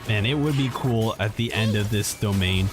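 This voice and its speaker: A man's voice: lowish voice, normal speed. A man giving casual, playful live commentary as he streams a game. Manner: playful, mouths sound effects. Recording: game music behind.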